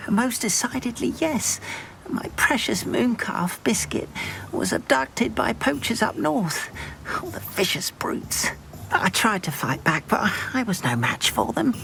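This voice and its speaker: An actor playing a timid, sentimental goblin in a video game, with a plaintive, fretful way of talking. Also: male voice, very high-pitched, very animated, a little slow, creaky, pushed and bright, soft background music, exaggerated voice, rough recording. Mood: distressed, fretful. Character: timid, anxious, sentimental goblin doting on his pet. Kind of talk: video game character acting.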